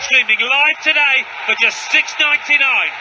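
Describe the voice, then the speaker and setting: Male ad voice, very high and excited commentary style, loud noise behind. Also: normal speed. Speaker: sports ad announcer.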